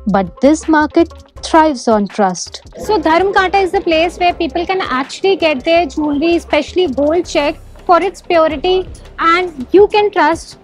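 A woman's voice: extremely high pitch, lively intonation, unhurried, soft background music. A young woman with an Indian English accent, presenting a travel vlog from a busy market and narrating over it. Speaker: reporter. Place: busy street market outdoors.

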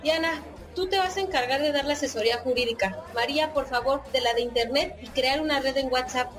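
A bossy but friendly Mexican organizer, played by a woman in a radio soap. Her voice sits high. She talks colloquially and directs everyone. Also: fairly quick, lightly acted. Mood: brisk, organizing. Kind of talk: radio soap opera acting.

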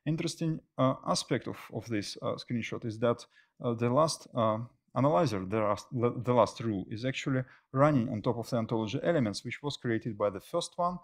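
Middle-aged guy doing a software talk at a meetup, medium pitch, stops and starts a lot. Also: fairly quick. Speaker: conference speaker, software engineer. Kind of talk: technical presentation. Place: indoor stage at a tech meetup.

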